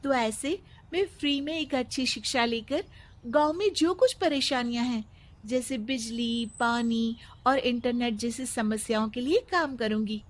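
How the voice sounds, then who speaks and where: A woman voicing an earnest bright village girl. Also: on the high side, unhurried, natural voice. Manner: earnest, articulate. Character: confident young village girl student.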